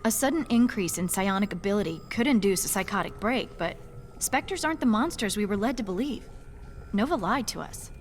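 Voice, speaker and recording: An actress playing an analytical female scientist who speaks in a calm, precise, explanatory way in video game dialogue. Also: mid-range voice, brisk, slight background noise, lightly acted. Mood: serious.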